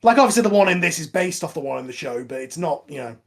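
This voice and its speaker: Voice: mid-range voice, big pitch swings, quick pace. A person chatting casually as a panelist on a film commentary.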